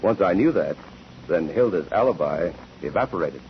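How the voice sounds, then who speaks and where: An American actor playing a sharp, methodical courtroom investigator in a 1940s radio drama, precise and probing. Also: male voice, deep voice, lively intonation, moderate pace. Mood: explaining. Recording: dull, noisy 1949 radio recording.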